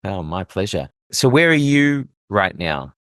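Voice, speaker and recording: A man's voice: low voice, big pitch swings, a little slow, a bit bright, no room sound, clean studio sound. A man hosting a podcast interview, asking curious prompting questions. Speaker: podcast host. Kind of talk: casual interview.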